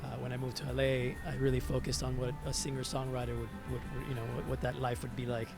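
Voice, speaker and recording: A man's voice: mid-range voice, completely flat delivery, gravelly. Reflective Venezuelan man over background music. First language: Spanish. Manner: reflective, halting, repeats words as he searches. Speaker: interview guest, musician and hospitality entrepreneur. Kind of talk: conversational personal storytelling.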